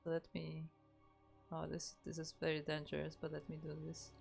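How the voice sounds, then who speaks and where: A woman's voice: sits low, monotone, normal speed, creaky, darkish tone, faint music behind. A woman from Poland with a Polish accent, casting a live game tournament in a chatty way, often restarting her sentences. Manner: chatty, reads out chat, frequent restarts. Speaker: esports caster.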